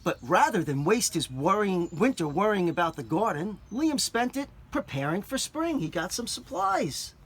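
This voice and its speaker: Breathy man reading aloud to kids. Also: high voice, big pitch swings, normal speed, hardly any pauses. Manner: gentle, explaining words to children. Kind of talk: children's read-aloud with asides.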